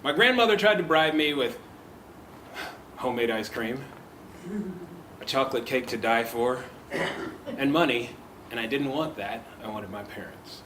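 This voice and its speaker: Male club speaker, storytelling and teasing, medium pitch, echoey room with steady background noise. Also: normal speed, pauses often. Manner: lively, laughs often, teasing. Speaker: club speaker and table topics master. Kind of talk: personal storytelling speech at a club meeting.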